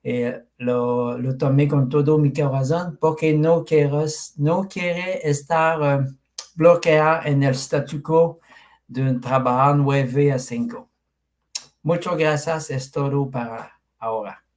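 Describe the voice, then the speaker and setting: Slow, halting French Canadian man. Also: on the high side, steady tone, darkish tone. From Quebec. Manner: halting, searching for words, many fillers. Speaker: participant. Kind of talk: video call self-introduction.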